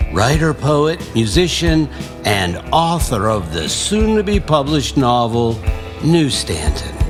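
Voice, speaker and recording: Slow-spoken man narrating noir, dramatic and lively. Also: medium pitch. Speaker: author, poet and podcast host reading his own fiction.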